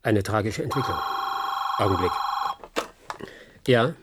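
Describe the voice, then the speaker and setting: An actor playing a brusque, worried police inspector in a German radio play, with clipped, questioning dramatic delivery. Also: male voice, fairly low pitch, takes it slowly, heavy background noise. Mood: alarmed, urgent.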